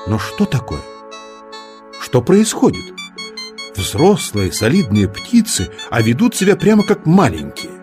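A man narrating a children's nature tale in an expressive scripted storytelling style. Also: medium pitch, unhurried. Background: music.

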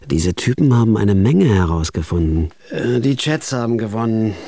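A man's voice: lowish voice, sing-song, normal speed, dark tone, dry recording. An actor playing a wry, nervous hitman who narrates his own story dryly in a radio drama. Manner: dry, understated first-person narration.

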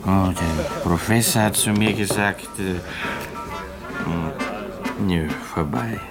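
Casting: washed-up former student for radio drama, bitter and rambling, deep-ish slow delivery over music. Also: male voice. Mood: bitter, self-pitying. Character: bitter, self-pitying failed medical student, down and out. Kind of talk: German radio play acting.

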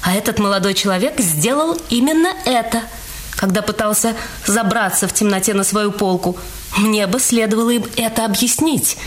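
An actress playing a wary, inwardly resentful witness in a radio detective play, with deliberate, expressive phrasing. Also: female voice, medium pitch, slight background noise, lightly acted. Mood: bitter, inward. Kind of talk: dramatised radio reading, dialogue mixed with narration.

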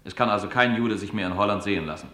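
An actor playing a ruthless Nazi SS police commander who delivers official reports and speeches in a clipped, authoritative, unfeeling way. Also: male voice, lowish voice, racing, fairly bright tone, lightly acted. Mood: cold, official. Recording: roomy echo on the voice.

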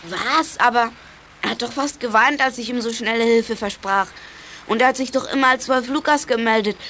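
High-voiced eager schoolboy. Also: female voice, normal speed, pushed and bright, slight background noise. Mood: dismayed. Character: eager, conscientious schoolboy CB radio amateur. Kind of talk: German children's radio play acting.

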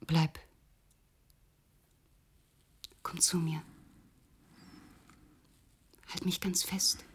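An actress playing an intimate, vulnerable lover in a German crime radio drama, husky and breathy. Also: female voice, on the low side, level tone, very slow, whispered. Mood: pleading.

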